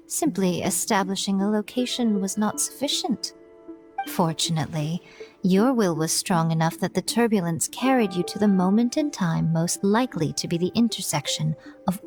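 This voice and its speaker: A woman's voice: medium pitch, natural voice. A woman voicing a playful, wise female priestess in a game, with an elegant, teasing and amused manner. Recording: game audio with faint music behind.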